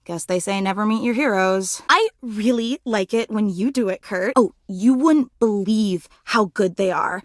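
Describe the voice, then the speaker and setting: A woman voicing a bubbly, devoted superfan in a video game, with an excitable, dramatic, slangy way of gushing. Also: mid-range voice, a little slow, hardly any pauses, dry recording, clean studio sound, close to an everyday voice. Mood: hurt, annoyed. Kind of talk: video game character voice acting.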